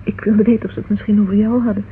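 Young anxious girlfriend in old radio drama, hesitant, really breathy rough sound, phone-like band. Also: mid-range voice, level tone, rapid-fire. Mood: hesitant, confiding. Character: anxious, affectionate young sweetheart of a police inspector. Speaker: actress in a radio play. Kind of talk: Dutch radio drama acting. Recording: muffled, husky-sounding old radio recording.

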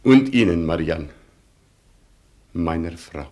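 An actor playing a proud, tormented Polish colonel in a German radio play, declaiming solemnly. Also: male voice, sits low, animated, takes it slowly, warm tone, heavily acted. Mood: solemn, moved.

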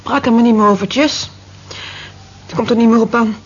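A woman's voice: fairly high pitch, steady tone, brisk, natural voice. An actress playing a lovelorn, weary cleaning woman in a radio drama, bitterly resigned, with clipped, dejected replies. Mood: hurt resignation. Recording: muffled, noisy old radio play recording.

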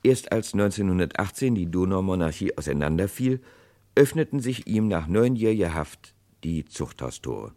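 An actor narrating a 1960s radio crime play as a grave chronicler, with dramatic, deliberate delivery. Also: male voice, low voice, big pitch swings, mellow. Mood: grave, reflective. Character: grave male chronicler of a historic murder case.

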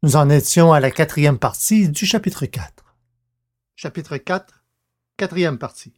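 Narrateur de livre audio, voix assez haute. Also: male voice, fairly high pitch, expressive, unhurried. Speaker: narrator and presenter.

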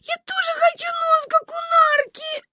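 High-pitched, stubborn little girl. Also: big pitch swings, moderate pace, dry recording, clean studio sound. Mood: wailing. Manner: insistent, commanding, theatrical. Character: stubborn, bossy, dramatic little girl, wailing when hurt.

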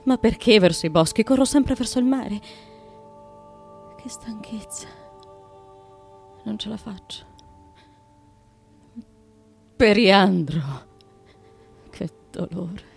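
Bewildered Greek tyrant's wife, medium female pitch, disoriented, questioning, theatrical radio soliloquy, faint music. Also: takes it slowly, vocal fry, pauses often, darkish tone. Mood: pained, frightened. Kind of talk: theatrical radio drama monologue.

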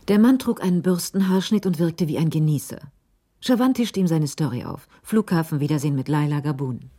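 A woman narrating a radio crime drama in a measured, literary storytelling manner. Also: low voice, lively intonation, warm tone.

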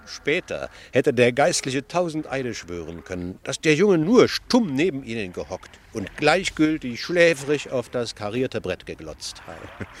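An actor playing a wry, gossipy ship passenger in a radio play, telling tales in with a sardonic manner. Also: male voice, medium pitch. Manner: sardonic, chuckling, animated storytelling. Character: wry, worldly, gossipy male ship passenger, mocking raconteur. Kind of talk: German radio play dialogue.

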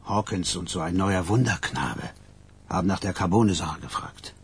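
Very raspy old mobster. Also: male voice, sits low, moderate pace, full character voice. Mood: uneasy, confiding. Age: older. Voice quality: husky, raspy. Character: elderly mobster with a lapdog, wry and gallant. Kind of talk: radio crime drama acting.

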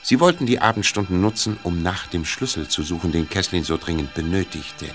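A man's voice: deep voice, fairly quick, fairly bright tone, soft background music. A man narrating a scripted children's audio drama.

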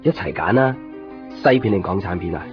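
Earnest low-voiced young Cantonese man. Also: animated, brisk. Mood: eager. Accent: Hong Kong Cantonese. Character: earnest, lovestruck young man courting a woman. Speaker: radio drama actor. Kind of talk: naturalistic 1990s radio drama dialogue and inner monologue. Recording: muffled 1990s radio drama, music underneath.

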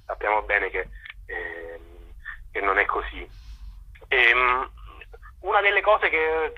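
A man being interviewed on radio, explaining his ideas haltingly, searching for words and repeating them, over thin phone-like audio. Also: lowish voice, slightly rough. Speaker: interview guest, journalist.

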